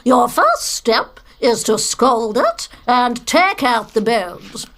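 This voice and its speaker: Actress doing cheerful clueless cooking show lady, parody, slow talking, lilting highish voice. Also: very animated, a bit bright, exaggerated voice. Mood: blithe. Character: blithe, darkly cheerful female cookery presenter. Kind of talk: parody cookery programme, comedy audio drama.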